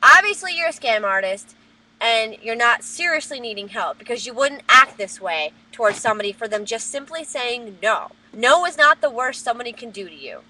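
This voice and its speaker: Loud, high-pitched woman ranting. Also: animated, normal speed, rough recording. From New Jersey. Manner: loud, profane, heated ranting. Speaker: vlogger. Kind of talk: webcam rant. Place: at work. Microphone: webcam.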